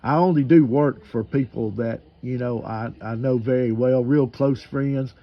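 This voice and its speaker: A man's voice: medium pitch, fairly even intonation, a little slow. Folksy man on a dull radio link. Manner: folksy, colloquial, rambling. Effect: radio transmission. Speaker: amateur radio operator. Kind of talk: casual radio chat. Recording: dull, limited-band amateur radio audio.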